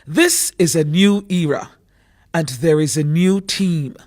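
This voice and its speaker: A man's voice: fairly high pitch, slow, a little mellow. A man reading scripted narration for a political campaign. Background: music.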